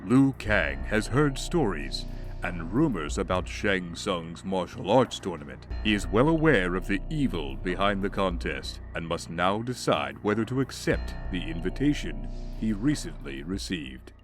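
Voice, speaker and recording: A man reading dramatic scripted narration in a grave, deliberate way. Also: low voice, sing-song, soft background music.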